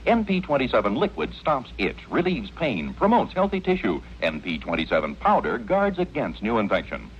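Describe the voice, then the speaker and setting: Very animated male radio ad announcer. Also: mid-range voice, moderate pace, hardly any pauses. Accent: American. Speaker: advertising announcer. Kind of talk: scripted vintage radio commercial. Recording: muffled old radio, hissy.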